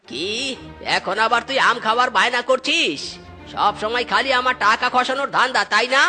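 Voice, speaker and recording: A man performs a stingy, hot-headed village uncle from a cartoon comedy. His voice is bright and forceful. He grumbles and scolds in big exclamations. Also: extremely high pitch, moderate pace, soft background music, exaggerated voice. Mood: irritated. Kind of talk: exaggerated Bengali cartoon comedy dialogue.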